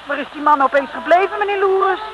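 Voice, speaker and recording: A woman's voice: very high-pitched, fast, highs cut off, heavy background noise. An actress playing a plucky, anxious young female sidekick to a detective in an old Dutch radio serial. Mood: amazed. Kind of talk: 1950s-60s Dutch radio serial acting.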